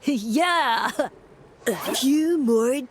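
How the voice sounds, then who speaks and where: A woman voicing a naive, gushing, slow-witted little mushroom creature in a video game. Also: sits high, a little hiss or hum. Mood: goofy. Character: dim, admiring little mushroom sidekick creature.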